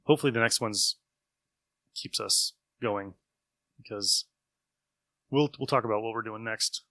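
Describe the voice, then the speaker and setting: Bright-voiced man chatting, close dry sound. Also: lowish voice, unhurried, no room sound. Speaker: podcast co-host. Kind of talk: casual chat about old radio shows.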